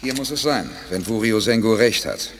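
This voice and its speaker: A man playing a calm, authoritative space commander in a German audio drama, speaking in a composed, deliberate way and courteously probing for answers. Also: on the low side, faint music behind. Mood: focused.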